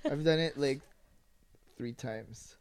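A man's voice: medium pitch, slow pace, a little mellow. A young man co-hosting a podcast, chatting casually with friends in a candid, slangy, campy way. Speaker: podcast co-host. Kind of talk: casual chat among friends.

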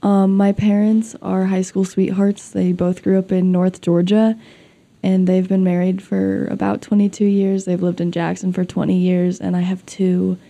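Teen girl student, brisk, gravelly, very dark tone. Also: medium pitch, flat delivery, faint music behind. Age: teens. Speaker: student interviewee.

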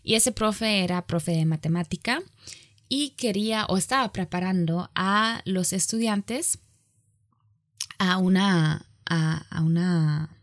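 A woman gives a lecture in a language she did not grow up with, speaking slowly and often stopping to correct herself. Her voice sits a little low, and the recording is dry and close. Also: no echo. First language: not Spanish. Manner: halting, self-correcting, with frequent pauses. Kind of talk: online lecture.

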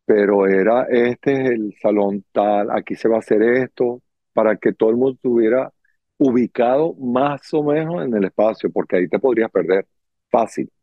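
A man's voice: mid-range voice, normal speed, no room sound. Venezuelan man telling stories, muffled sound. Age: middle-aged. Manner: animated, acting out little dialogues. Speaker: guest, circus performer and dancer. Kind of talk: conversational storytelling in an interview.

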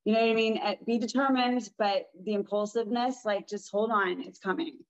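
A woman with a raspy voice, chatting as a guest in an interview, rambling and restarting her sentences. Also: mid-range voice, steady tone, fairly quick, highs cut off. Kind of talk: casual interview talk.

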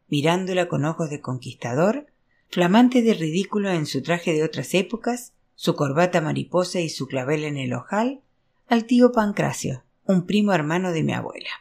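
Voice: medium pitch, darkish tone. A narrator reading a literary novel aloud as scripted audiobook narration. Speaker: audiobook reader.

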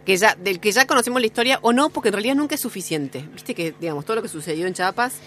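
A woman with a Rioplatense Argentine accent hosting a radio show, playful and quick to laugh as she wanders off topic. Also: mid-range voice, lively intonation, a bit bright, slight background noise. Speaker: radio host. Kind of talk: joking radio chat.

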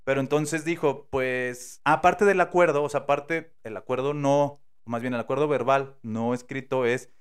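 A man with a Mexican accent, casually telling a true crime story on a podcast, slangy and animated, acting out the quotes. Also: medium pitch, normal speed, few pauses, smooth. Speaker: podcast host.

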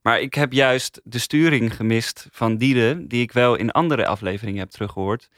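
A man's voice: mid-range voice, moderate pace, fairly bright tone, no room sound. Man discussing casually, dry studio sound. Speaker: podcast panelist.

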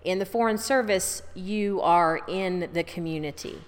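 A woman's voice: on the low side, a little slow, in a large hall. A middle-aged woman as an interview guest, chatting easily about her family history with fillers and restarts. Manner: chatty, easygoing storyteller with fillers. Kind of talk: casual life-story interview.

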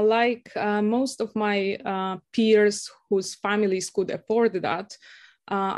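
Woman, young adult, from Poland, native Polish speaker, hesitant with many fillers, mid pitch. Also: darkish tone. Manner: hesitant, many fillers and restarts, laughs often. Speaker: guest, university lecturer. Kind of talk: casual interview conversation.

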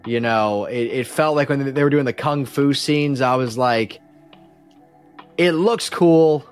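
A man chats as a podcast guest, with music in the recording. His voice sits at a medium pitch. He is opinionated and enthusiastic, wandering through his explanations in a loose, conversational style. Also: a little slow, clean tone. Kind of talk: casual podcast discussion.

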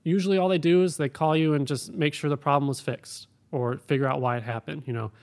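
A man's voice: on the high side, fairly even intonation, quick pace, a little mellow. A man who works as an air traffic controller, answering interview questions in a plain, practical, explanatory way.

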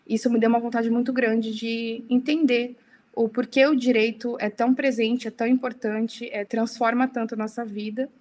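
A woman's voice: fairly high pitch, darkish tone. A young woman speaking as a podcast guest, talking casually and often tagging her sentences with little questions. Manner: chatty, many tag questions. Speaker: interview guest.

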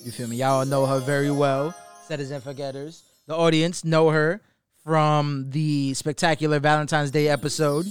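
A man's voice: fairly high pitch, moderate pace, clear, resonant tone, soft background music. A man from the Bronx hosting a casual podcast, slangy and hyped like an emcee. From the Bronx, New York. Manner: hype, slangy, animated emcee delivery. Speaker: podcast host.

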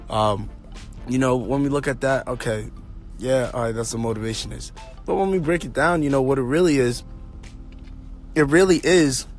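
A man with a slightly hoarse voice hosting a motivational podcast, speaking off the cuff in a halting, rambling, informal way straight to his listeners. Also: mid-range voice. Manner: halting, rambling, thinking aloud; informal, addresses listeners directly. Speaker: podcast host. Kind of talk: motivational monologue, casual and unscripted.

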